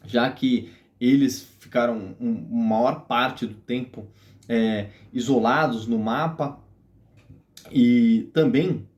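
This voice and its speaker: A man's voice: medium pitch, takes it slowly, a little mellow, highs cut off. A man from Brazil hosting a casual explainer video, teasing and joking as he talks. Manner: joking, teasing, full of fillers and restarts. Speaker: video host.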